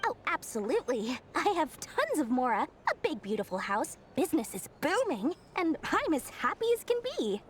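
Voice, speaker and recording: A woman's voice: sits high, big pitch swings, normal speed, few pauses, slight background noise. A woman playing a small, money-loving young merchant in a video game, with a chirpy, theatrical delivery. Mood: gleeful.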